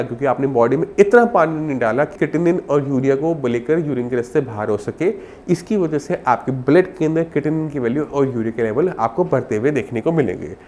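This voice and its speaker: A man's voice: medium pitch, darkish tone. A man with a sore throat and cold, explaining health advice to viewers as a doctor in a direct, instructive way. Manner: instructive, addresses viewer directly with simple examples.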